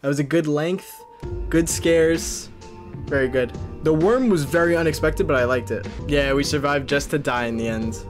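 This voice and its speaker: A man in his twenties giving casual, excitable and jokey live commentary as he plays a video game. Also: fairly high pitch, moderate pace, music in the background. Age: 20s. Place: small room indoors at a gaming setup.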